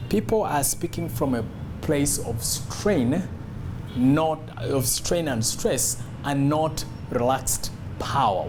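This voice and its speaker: A man's voice: medium pitch, noisy background. A man giving an instructional talk as a public speaking coach, emphatic and demonstrative, repeating key phrases.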